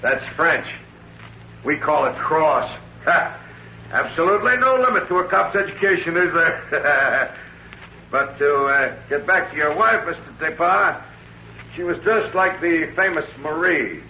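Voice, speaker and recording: A man's voice: on the high side, unhurried, sharp tone, heavily acted. A man playing a shrewd American police captain in a 1940s radio thriller, folksy in manner but insinuating and pressing. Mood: wry, needling. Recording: roomy, dull vintage radio recording, faint noise.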